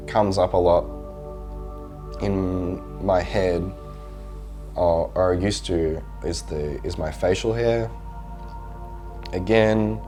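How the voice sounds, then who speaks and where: A man speaking hesitantly in a documentary interview, with pauses and restarts. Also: deep voice, mellow.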